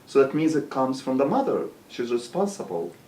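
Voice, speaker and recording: A man's voice: medium pitch, sing-song, darkish tone. Man, roomy and distant. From Egypt. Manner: interjecting, halting phrasing with fillers. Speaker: talk show host. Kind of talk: interview. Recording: roomy distant mic with faint hum.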